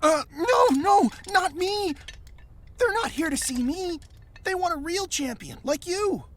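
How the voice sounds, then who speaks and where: A shy rock-creature youth, slowish, lively swooping pitch, humble, hesitant, game cutscene. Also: male voice, a little hiss or hum, exaggerated voice. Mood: flustered, modest. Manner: hesitant, modest. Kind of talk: video game cutscene acting.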